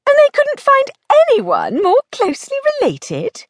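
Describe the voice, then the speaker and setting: A pert young lady of society, acted by a woman narrator, playful and sharp-tongued. Her high voice leaps about with great animation, as in a romantic audiobook. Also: huge pitch swings, unhurried, dry recording, heavily acted. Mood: blunt, sarcastic.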